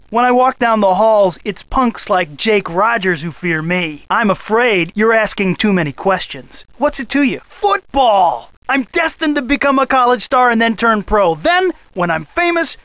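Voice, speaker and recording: A cocky high school jock from a video game. His voice is high for a male and smooth, with a boastful, pushy swagger. It is recorded close and dry. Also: a bit bright, dry recording. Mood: boastful, aggressive. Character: cocky, aggressive teenage high school football star. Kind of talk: scripted video game voice acting.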